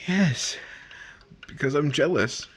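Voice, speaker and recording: An actor playing a brooding, misunderstood husband, wounded and jealous, with hesitant delivery in a 1950s-style radio romance. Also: male voice, a little high, lively intonation, slow pace, a little hiss or hum. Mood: jealous, sullen.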